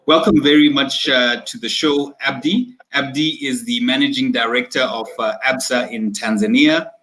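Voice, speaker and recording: A man's voice: medium pitch, fairly even intonation, moderate pace, fairly bright tone, low-quality recording. A man hosting an online executive interview, upbeat and chatty, with many fillers and restarts. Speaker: host. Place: at home, video call. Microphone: video call.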